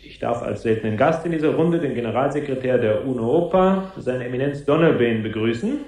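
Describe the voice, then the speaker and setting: A man's voice: mid-range voice, lively intonation, fairly quick, darkish tone, natural voice. Man acting a pompous press officer, echoey hall. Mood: formal, official. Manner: formal, bureaucratic, long-winded. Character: formal, pompous male head of public relations. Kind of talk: press conference in 1970s radio drama.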